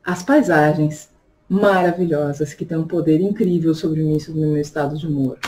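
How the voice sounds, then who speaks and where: A woman's voice: sits low, normal speed, dark tone. Chatty Brazilian-born woman vlogging. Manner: chatty, reflective, frequent tag questions. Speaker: vlogger and tarot reader. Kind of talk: casual personal vlog monologue.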